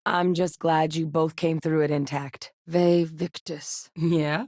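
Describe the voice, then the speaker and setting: An actress voicing a composed adult woman who is a military officer and scientist, speaking in measured, businesslike game lines. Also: low voice, little pitch movement, a little mellow, no echo, studio quality. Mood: steady. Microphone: studio voice-over.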